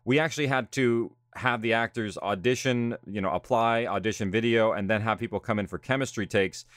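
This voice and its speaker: A man with a lowish voice explains his work as a director. He speaks in an earnest, conversational interview style. The recording is dry and close. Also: a little fast, a bit bright, no room sound. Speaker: audio drama creator and director.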